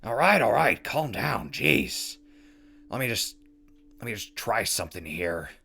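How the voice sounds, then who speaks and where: A man's voice: on the low side, very animated, measured pace, creaky, pushed and bright, slightly rough, full character voice. A man playing a wry wasteland radio host and wanderer in an audio drama, talking conversationally. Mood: relieved, flustered. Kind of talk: audio drama log and mock sponsor reads.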